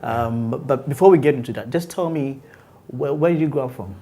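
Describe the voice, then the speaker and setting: A man's voice: mid-range voice, a little fast, dark tone. Chatty male interview host. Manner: friendly, chatty, with short affirmations and restarts. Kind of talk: casual interview.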